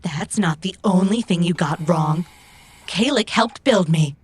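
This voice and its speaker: A woman playing a proud, loyal robot companion in a cyberpunk audio drama, speaking in formal, precise, measured phrases with a husky voice. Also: on the low side, darkish tone. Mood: measured, offended.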